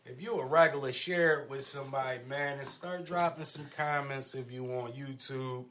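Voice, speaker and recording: A man's voice: mid-range voice, moderate pace, a bit bright. A man hosting a casual panel discussion, talking in slangy, argumentative phrases that he repeats for emphasis. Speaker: podcast host.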